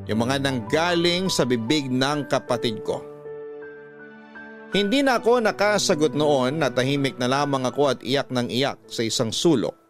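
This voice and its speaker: A man's voice: fairly high pitch, no echo, clean studio sound. A Filipino man narrating a first-person letter as dramatic radio storytelling, earnest and sorrowful. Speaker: radio host reading a listener's letter.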